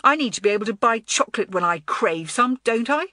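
A woman's voice: on the high side, lively intonation, brisk, bright, forceful tone, no room sound, clean studio sound, voice pushed up. Woman acting a haughty, spoiled teenage head girl. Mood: blazing, defensive. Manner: imperious, indignant.

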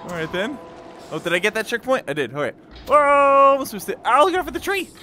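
A man giving excited let's-play commentary in a playful, exclamatory way, breaking into goofy sound effects and sing-song. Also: very high-pitched, fairly quick, slight background noise. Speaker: gameplay video host. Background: video game music.

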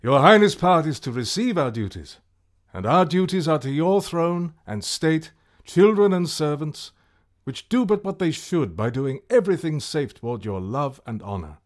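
An actor playing an ambitious warrior thane in a Shakespearean radio drama, speaking in a formal, declamatory way. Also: male voice, on the high side, animated, normal speed, close to an everyday voice. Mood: loyal, fervent. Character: ambitious Scottish warrior thane, outwardly loyal, secretly scheming.

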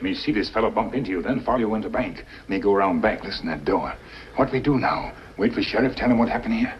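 An actor playing a loyal, terse sidekick speaking clipped pidgin English in a 1950s western. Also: male voice, fairly low pitch, expressive, quick pace, lightly acted. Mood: terse, earnest. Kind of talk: 1950s television western acting. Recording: noisy, dull 1950s television soundtrack.

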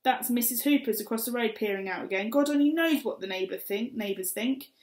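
Woman reading as a shrill scolding mum. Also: fairly quick, a little mellow, natural voice. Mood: furious scolding. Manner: scolding, shrill, breathless tirade. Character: frantic furious middle-aged mother. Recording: roomy echo.

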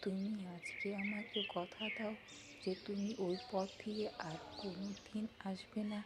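A woman's voice: medium pitch, little pitch movement, a little slow, natural voice. A woman playing an anxious, doting village grandmother who fusses and scolds in a folk-tale cartoon. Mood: worried scolding.

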